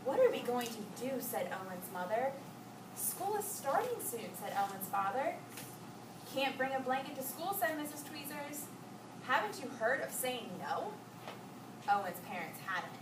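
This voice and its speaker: A woman with a high voice reads a picture story to children expressively and stops to chat with them about it. She is recorded at a distance in an echoey, noisy room. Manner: expressive, pausing to ask and echo children's answers. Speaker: teacher reading aloud to children. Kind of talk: storybook read-aloud with questions to children.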